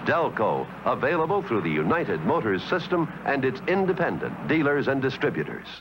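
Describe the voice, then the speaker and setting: A man's voice: medium pitch, big pitch swings, normal speed, background noise. A man reading a scripted vintage commercial as an announcer, on an old archival film soundtrack. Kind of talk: vintage advertisement narration, scripted.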